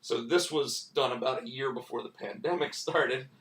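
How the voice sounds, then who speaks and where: A man hosting a casual live stream, going hoarse and rambling with lots of fillers and restarts. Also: mid-range voice, moderate pace, fairly bright tone, roomy sound, recorded from a distance. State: losing his voice, hoarse. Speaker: comic book writer and stream host.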